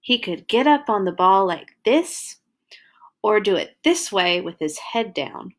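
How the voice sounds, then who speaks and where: Woman reading a kids picture book out loud, super expressive, swoopy pitch, takes it slow. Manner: animated, exaggerated emphasis for young children. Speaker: children's storyteller and host of a read-along. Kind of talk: expressive read-aloud of a children's picture book.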